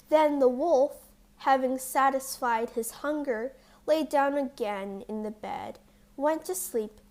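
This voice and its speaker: A woman narrating a scripted fairy tale for young children as a storyteller, reading deliberately. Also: on the high side.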